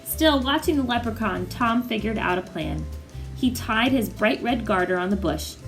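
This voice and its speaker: A woman storyteller reading a picture book aloud to children in an expressive, animated way. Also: on the high side, moderate pace, faint music behind.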